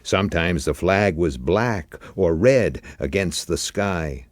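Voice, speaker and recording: Slow, expressive low-voiced male story reader. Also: dry recording. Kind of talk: dramatic literary audiobook narration.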